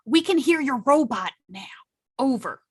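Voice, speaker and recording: A woman's voice: high-pitched, animated, measured pace, breathy edge. A woman playing a tabletop roleplaying game, chatting casually in a playful, wry way.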